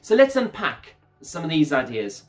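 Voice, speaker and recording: A man's voice: a little high, huge pitch swings, normal speed. A man preaching an enthusiastic, conversational sermon who talks to his listeners as "guys", over faint background music.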